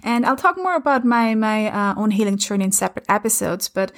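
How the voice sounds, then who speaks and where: A woman's voice: mid-range voice, animated, brisk. A woman in her thirties from Austria, a native German speaker with an Austrian German accent, hosting her own podcast in an earnest, encouraging way with many fillers. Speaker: podcast host and coach. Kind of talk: casual solo podcast talk. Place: home in London.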